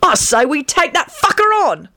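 Woman acting cocky young man, hot-headed, vain, loud, lively swooping delivery, storybook character. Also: high voice, moderate pace, no room sound, clean studio sound, voice pushed up, exaggerated voice. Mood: gleeful, impressed.

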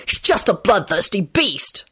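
A woman's voice: mid-range voice, very animated, measured pace, pushed and bright, breathy edge, pitched above the performer's own voice, exaggerated voice. A woman voicing a mean, cowardly young bully in a storybook, mocking and nasty.

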